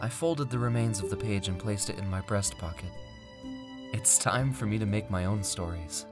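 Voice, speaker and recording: A man's voice: lowish voice, close to an everyday voice. Man acting an earnest fantasy mage over music. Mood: calm, resolved. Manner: measured, theatrical, formal storybook phrasing. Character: wandering plane-traveling mage, earnest, driven, recounting his life. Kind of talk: dramatic first-person fantasy story narration. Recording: music under the voice. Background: background music.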